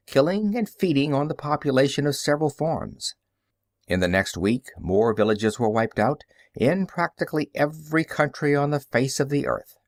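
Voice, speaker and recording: A man reading a story aloud as an audiobook narrator, expressive and dramatic. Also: medium pitch, dry recording, studio quality. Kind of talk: scripted story narration with character dialogue.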